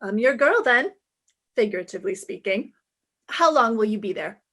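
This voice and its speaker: A woman acts out a self-assured female private eye from a fifties radio mystery. Her voice sits fairly high, and she tosses off her lines with breezy, flirtatious wit. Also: expressive, moderate pace, fairly bright tone. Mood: brisk, playful. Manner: wisecracking, breezy. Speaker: lead actress and first-person narrator. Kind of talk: 1950s radio detective play acting.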